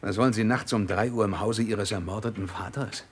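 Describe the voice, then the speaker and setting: A man's voice: fairly low pitch, fairly quick, a little hiss or hum, close to an everyday voice. A man playing a shrewd, commanding police inspector in a German radio crime drama, questioning with dry, sardonic authority. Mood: probing. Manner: probing, authoritative, dryly mocking.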